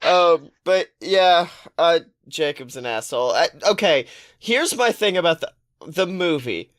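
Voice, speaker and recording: A woman's voice: fairly low pitch, expressive, a little slow. A woman co-hosting a podcast in casual banter, blunt, sweary and contrarian. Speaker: podcast co-host.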